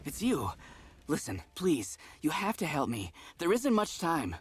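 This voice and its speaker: An actress playing a desperate young musician in a fantasy game, husky and pleading. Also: female voice, low voice, big pitch swings, measured pace, no echo, exaggerated voice. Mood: pleading, urgent. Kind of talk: fantasy game cutscene acting.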